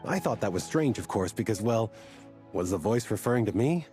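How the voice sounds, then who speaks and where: A man's voice: on the low side, animated, brisk, dark tone, faint music behind, lightly acted. A man voicing a rambling, absent-minded scholar of desert lore in a video game, earnest and hesitant. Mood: musing.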